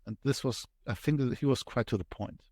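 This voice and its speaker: A man with a German accent, a podcast guest explaining things step by step with frequent thoughtful pauses. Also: medium pitch, a little mellow, dry recording, studio quality. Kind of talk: conversational interview explanation.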